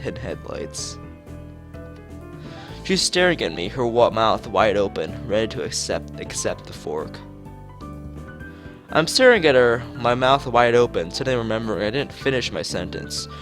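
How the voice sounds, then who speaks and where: A man reading visual novel text aloud as a streamer, sometimes stumbling and rereading phrases. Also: lowish voice.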